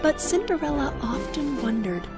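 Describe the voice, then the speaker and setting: A woman's voice: high voice, big pitch swings, normal speed, over music. A woman with an American accent narrating a scripted fairy tale for children. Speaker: storyteller.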